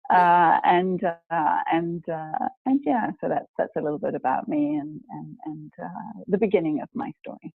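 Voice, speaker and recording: A woman in her forties from New Zealand talks about her life as a guest in a live video chat. She speaks in a measured way, pausing often to find the right words. Her voice comes through thin and phone-like, with a dry, close sound. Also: fairly low pitch, very animated, gravelly, slightly rough, no echo. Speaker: guest, executive coach.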